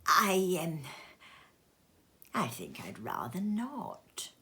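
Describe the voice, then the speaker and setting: A nervous old man, a storybook miser, played by a woman reader. The voice sits fairly low and falters. The words come hesitantly, as timid bargaining. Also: wildly swooping pitch, drawn-out words, pushed and bright, heavily acted. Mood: nervous, pleading.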